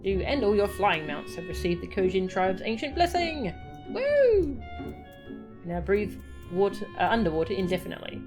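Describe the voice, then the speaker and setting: A woman's voice: mid-range voice, sing-song, normal speed, music in the background. Chatty, easily delighted woman streamer. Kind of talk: casual game commentary, reading tutorials aloud.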